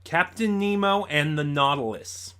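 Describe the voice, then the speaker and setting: Man giving a halting, informal review. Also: a little high, a bit bright, clean tone. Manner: halting, thinking aloud, self-correcting. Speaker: audio drama reviewer.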